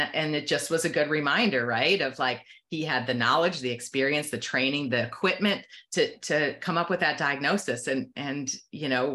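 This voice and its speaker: A bright, sharp-toned voice of middling pitch belongs to an optometrist and practice owner who is a guest on an interview podcast. The talk rambles casually, full of restarts and asides. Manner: conversational, rambling with many restarts.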